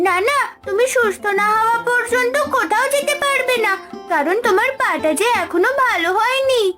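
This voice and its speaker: A woman's voice: very high voice, normal speed, over loud music. A woman voicing a kind, caring young village girl in a cartoon story, speaking earnestly. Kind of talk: cartoon voice acting.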